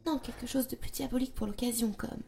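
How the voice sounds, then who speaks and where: A woman playing a young princess, an earnest and expressive younger sister, in a French fandub of a fantasy drama. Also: a little high, fast, a little hiss or hum, natural voice. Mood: hushed, reassuring. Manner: emotional, expressive. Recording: music under the voice.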